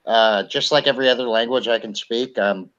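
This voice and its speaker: A man's voice: mid-range voice, brisk, fairly bright tone. A man from California with an American accent and some Southern words, joking in a casual chat. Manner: joking, puts on mock accents. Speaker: guest. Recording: lo-fi call audio.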